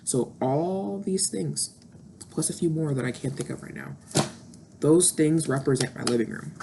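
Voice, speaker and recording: A woman's voice: low-pitched, normal speed, vocal fry, warm tone, a little hiss or hum. A woman in her thirties talking candidly and introspectively in a reflective personal monologue, with frequent fillers. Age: 30s. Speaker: vlogger.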